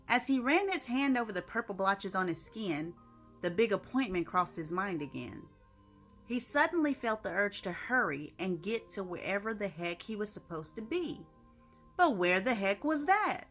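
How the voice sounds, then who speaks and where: Female storyteller, bright forceful tone, expressive short-fiction read over light music. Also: medium pitch. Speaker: author reading own short story.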